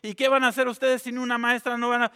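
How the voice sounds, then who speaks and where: A man voicing an excited Cuban woman teacher with a Cuban Spanish accent, jabbering fast and agitated. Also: very high voice, clean tone, pitched above the performer's own voice, full character voice. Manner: rapid jabbering, raised and agitated. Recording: long reverb in a big hall.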